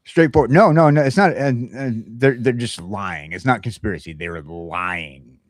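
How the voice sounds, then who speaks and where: A man hosting a casual morning chat show, talking in a halting, repetitive, opinionated way. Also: mid-range voice, no room sound. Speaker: talk show host.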